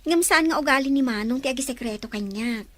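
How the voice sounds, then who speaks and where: A woman playing a lively, teasing younger sister in a drama, with an animated manner. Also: high voice, brisk. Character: lively, teasing young woman talking up her older brother. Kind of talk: Ilocano radio-style drama acting.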